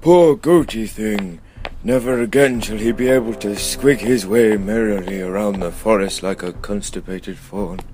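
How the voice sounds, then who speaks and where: A man's voice: fairly low pitch, unhurried, darkish tone, faint music behind, natural voice. A man playing an eccentric, pompous old wizard in a comedic fantasy radio play, grand and theatrical in his delivery. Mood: silly, greedy.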